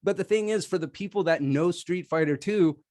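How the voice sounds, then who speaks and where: A man's voice: sits high, brisk, a little mellow, no echo, studio quality. A man making a casual, off-the-cuff debate argument with a sarcastic, wry manner. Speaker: debate contestant, film podcaster.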